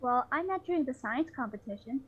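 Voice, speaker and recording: A woman's voice: high-pitched, expressive, a little fast, slightly rough. A woman voicing an eager, brainy young fox inventor, explaining earnestly, on a slightly dull recording.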